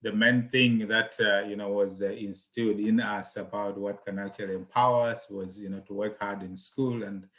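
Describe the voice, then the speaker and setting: Guy from malawi in an interview, kinda low but bright tone, lots of uhs and you knows. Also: fairly even intonation, normal speed, hardly any pauses. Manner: hesitant, many fillers and restarts. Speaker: guest, accountant and property investor. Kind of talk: conversational interview.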